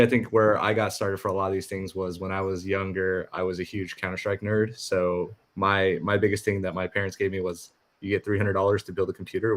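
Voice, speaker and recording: Male guest, lower voice, relaxed and self-deprecating. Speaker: guest, head of security. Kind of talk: casual interview.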